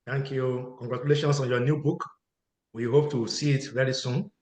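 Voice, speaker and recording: A man at a middle pitch moderates a video-call panel, calling on each speaker in turn. He talks with frequent fillers and pauses. His voice comes through with a roomy echo. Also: steady tone. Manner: hesitant, many fillers, warm thanks to panelists.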